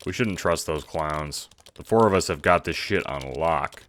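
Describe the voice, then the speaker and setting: A man voicing a sarcastic, defensive teenage boy who talks in slangy, sweary deadpan. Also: extremely low pitch, a little slow, slight background noise. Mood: wry, guarded.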